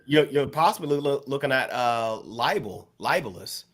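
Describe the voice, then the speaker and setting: A man talking as a podcast co-host, explaining things carefully and haltingly in a casual panel discussion. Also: mid-range voice, animated, fairly bright tone.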